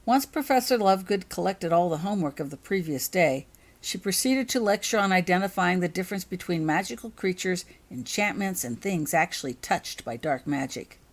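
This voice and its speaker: A woman's voice: lowish voice, animated, normal speed. A woman reading her own fantasy fiction aloud as a scripted audiobook narration.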